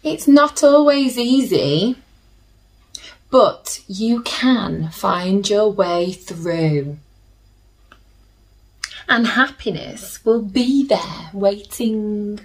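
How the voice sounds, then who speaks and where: Slow-paced woman reading to children. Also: medium pitch. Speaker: children's story reader. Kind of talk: picture-book read-aloud with chatty asides to children. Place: small plain room indoors.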